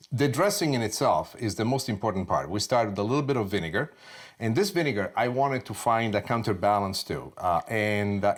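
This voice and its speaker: Passionate man presenting a cooking show. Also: on the low side. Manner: passionate, expressive delivery with frequent "uh" fillers. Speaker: TV chef. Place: home kitchen.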